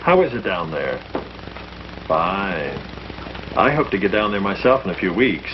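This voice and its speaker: Earnest priest, muffled old film. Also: male voice, low voice, big pitch swings, a little slow. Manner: earnest, polite, friendly. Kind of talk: 1950s film acting. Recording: muffled old film soundtrack, faint hiss.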